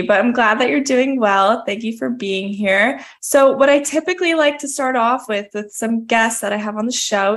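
A woman's voice: mid-range voice, creaky, fairly bright tone. A woman hosting an interview podcast, greeting her guest and asking him about his background in a friendly way. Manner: friendly, asks questions in a list. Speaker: podcast host. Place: New York.

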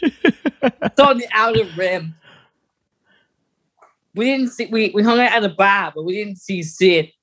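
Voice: medium pitch, a little slow, pauses often, fairly bright tone. A podcast co-host chatting casually about fan news in a wry, conversational way.

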